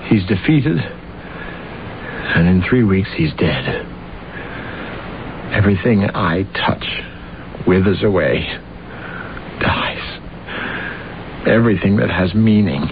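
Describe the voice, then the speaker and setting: An embittered husband who is slowly losing his mind in an old-time radio play. He is pompous, self-pitying and domineering, with dramatic, agitated delivery. The recording is dull and clearly noisy. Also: sits low, animated, full character voice. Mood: anguished, despairing.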